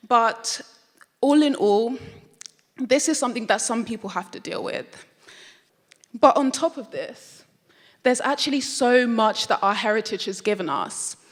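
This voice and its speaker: A young British woman student giving an earnest, reflective talk to a live audience. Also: fairly high pitch. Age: young adult. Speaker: student speaker. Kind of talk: prepared public talk. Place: lecture hall with audience. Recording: long hall reverb.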